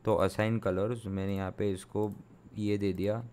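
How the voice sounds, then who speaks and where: A young man, a sound engineer from India, explains software step by step in a tutorial, thinking aloud with many fillers and restarts. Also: fairly low pitch, flat delivery, normal speed. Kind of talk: screen-recorded software tutorial.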